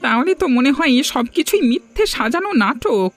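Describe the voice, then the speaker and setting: A performer voicing a cautious, even-handed young villager in a cartoon, weighing up gossip. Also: high-pitched, fairly quick, dry recording, soft background music, full character voice. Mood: unsure. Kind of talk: cartoon voice acting.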